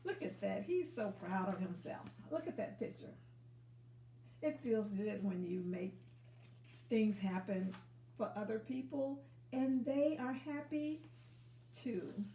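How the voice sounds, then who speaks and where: A woman reading a children's story aloud expressively, pausing to encourage young listeners with questions. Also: mid-range voice, lively intonation, vocal fry, warm tone, slight background noise. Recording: echoey room sound.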